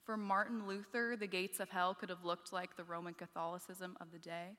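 A woman cohosting a live podcast, speaking in a measured way and affirming her guest often. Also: fairly low pitch, level tone, pushed and bright. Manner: measured, affirming, quick "yeah"s. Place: conference stage with hall. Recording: live hall reverb.

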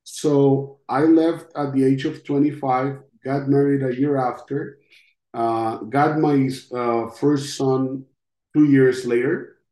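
Slow-speaking man with a Costa Rican accent. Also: medium pitch, steady tone, dark tone. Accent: Costa Rican Spanish. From Costa Rica. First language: Spanish. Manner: halting, reflective, with long pauses. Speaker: interview guest, podcast host. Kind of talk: personal testimony in an interview. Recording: roomy, echoing sound.

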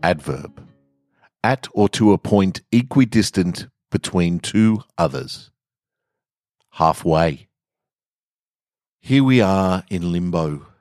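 Male podcast storyteller, low-pitched voice, close dry sound, relaxed literary reading. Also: takes it slowly, pauses often, no room sound, faint music behind. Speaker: podcast host, author and reader. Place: garage, indoors.

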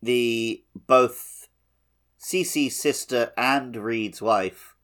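A man's voice: medium pitch, drawn-out words, fairly bright tone. A man co-hosting a gaming podcast in a casual, playful, jokey way. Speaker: podcast co-host.

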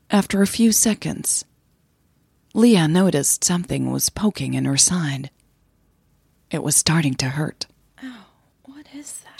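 Low husky lady reading a story slowly, soft and breathy, real dry sound. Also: no room sound. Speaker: narrator of an audio drama. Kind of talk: scripted fiction narration.

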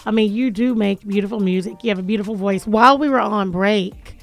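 A woman with a deep, raspy voice hosting a casual radio talk show from a studio, talking with lots of hype, repetition and fillers. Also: a little fast, faint music behind.

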